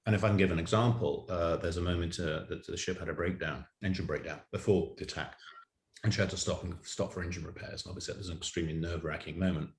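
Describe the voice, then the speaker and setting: A man telling an anecdote in an interview as a writer, explaining in measured detail, recorded with roomy echo. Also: deep voice, steady tone. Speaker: writer of audio drama, interview guest. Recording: roomy, echoey sound.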